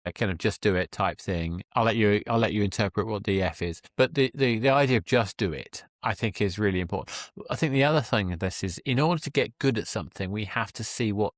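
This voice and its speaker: A man's voice: fairly low pitch, fast talker, hardly any pauses, dry recording, studio quality. A man hosting a conversational podcast interview, talking in a discursive, emphatic way with jokey asides. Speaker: podcast host.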